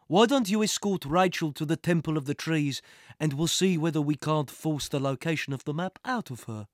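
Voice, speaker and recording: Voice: medium pitch, normal speed, dry recording, studio quality, natural voice. A storyteller voicing a menacing male villain in an adventure audiobook, mock-polite and threatening with a nasty temper.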